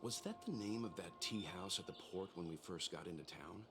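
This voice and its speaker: An actor playing a calm, solemn man in a video game, speaking in a measured, grave way. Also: low-pitched, over music.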